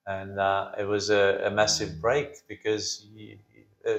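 A man's voice: fairly low pitch, completely flat delivery, a little slow, fairly bright tone, reverberant. A man interviewing a guest in casual chat, chatty and jokey, prompting with questions.

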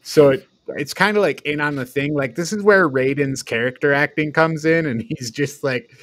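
A man's voice: a little high, normal speed, a bit bright, no echo, studio quality. A man talking informally as a panelist in a casual film discussion. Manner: informal, prone to chuckling and swearing. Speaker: podcast panelist reviewing films.

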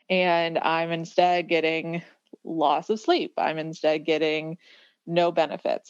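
This is a woman around thirty, a guest on a casual podcast, with a notably low voice. She talks reflectively and builds her thoughts through repeated phrases. The recording is dry and a little muffled. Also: no echo.

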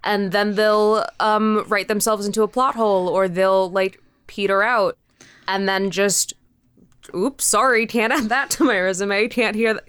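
A woman's voice: mid-range voice, fairly even intonation, a little slow, a bit bright, clean tone, no echo. A woman speaking casually and frankly as a guest creator in a podcast interview.

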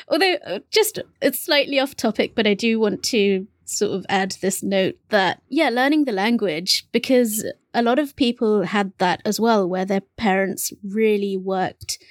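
A woman from England in casual conversation, animated, laughing often and going off on quick asides. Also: medium pitch, no room sound, clean studio sound.

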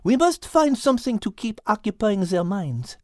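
A woman's voice: mid-range voice, big pitch swings, normal speed, no room sound, studio quality, close to an everyday voice. A woman voicing a clever, elegant female cat with a French accent in an animal story podcast. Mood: earnest.

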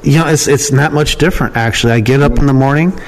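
A man's voice: medium pitch, fast, poor audio. Plain-spoken man chatting casually in an interview. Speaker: podcast guest, email marketer and copywriting trainer.

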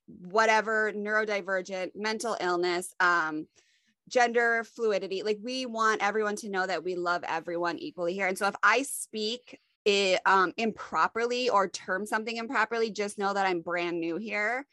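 A woman's voice: medium pitch, normal speed, sharp tone, no echo. Woman, young adult, sniffly cold, podcast co-host, chatty, self-deprecating, dry sound. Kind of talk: casual chat.

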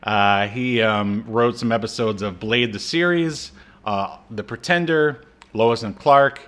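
A man co-hosting a podcast in casual film and TV chat, a native English speaker with a jokey, chuckling, halting delivery. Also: mid-range voice, fairly bright tone. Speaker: podcast co-host.

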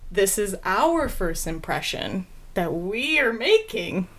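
A woman's voice: mid-range voice, big pitch swings, slow, fairly bright tone. A woman in her twenties, co-hosting a podcast in casual chat, laughing often and rambling with many restarts. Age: 20s. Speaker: podcast co-host.

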